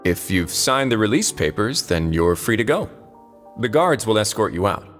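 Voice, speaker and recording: Casting: deep, unhurried, dryly teasing prison duke in a video game, long reverb, background music. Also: male voice, big pitch swings, fairly bright tone. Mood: matter-of-fact. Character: composed, wry prison warden duke, adult man. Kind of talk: video game character acting.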